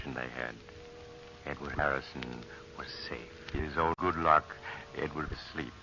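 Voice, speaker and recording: A grave, ominous horror storyteller from old-time radio. His extremely low voice is intensely forceful and dramatic. The recording is muffled. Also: fairly even intonation, soft background music, exaggerated voice. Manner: dramatic, deliberate. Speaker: narrator. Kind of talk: 1940s radio horror narration.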